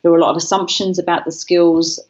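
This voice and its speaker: A woman's voice: fairly low pitch, flat delivery, quick pace, darkish tone, lo-fi sound. A woman from Britain, interviewed as a guest, talking about her life in a reflective, candid way. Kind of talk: conversational interview.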